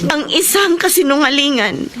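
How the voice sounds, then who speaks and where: An actress playing a remorseful, humble young woman candidate giving a formal, deliberate speech in a Filipino radio drama. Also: high-pitched, animated, over a noisy crowd.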